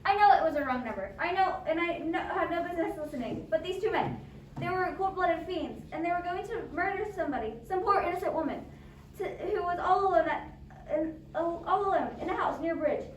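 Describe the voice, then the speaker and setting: A woman playing a nervous, imperious, demanding invalid wife on the telephone in a radio play, agitated and halting. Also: extremely high pitch, animated. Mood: horrified. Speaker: amateur drama club actor. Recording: echoey, distant, noisy amateur recording.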